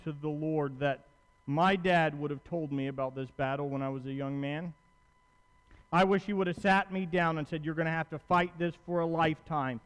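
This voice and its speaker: A man's voice: high-pitched, fairly bright tone, clean tone. A man in his thirties preaching a sermon in a conversational, emphatic way, repeating phrases and posing questions to his listeners. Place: large church auditorium, at pulpit on stage. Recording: long hall reverb from stage.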